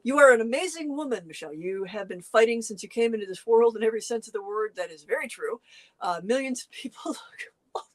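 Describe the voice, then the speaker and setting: A middle-aged co-host chatting casually, telling stories earnestly with lively jumps in pitch and frequent restarts. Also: quick pace. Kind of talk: casual conversational chat.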